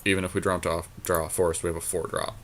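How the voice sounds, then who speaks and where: A man's voice: low voice, flat delivery, rapid-fire, slight background noise. A man co-hosting a podcast, giving casual, bantering commentary as he plays a card game with a partner, using gamer slang.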